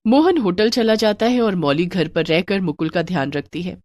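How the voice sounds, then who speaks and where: A woman's voice: fairly low pitch, normal speed, noisy background. A woman narrating a scripted moral bedtime story for children.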